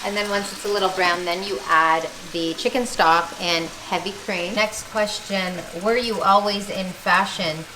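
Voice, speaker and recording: A woman's voice: medium pitch, steady tone, measured pace, hardly any pauses. A woman in her thirties with a chatty, confident manner, talking casually with playful asides while she cooks at home. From Canada. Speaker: vlogger. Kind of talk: casual chat while cooking. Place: home kitchen. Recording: echoey kitchen, faint noise.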